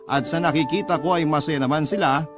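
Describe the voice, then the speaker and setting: A man's voice: fairly high pitch, fairly quick. A man with a Filipino accent reading a heartfelt letter as a scripted radio story. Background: music.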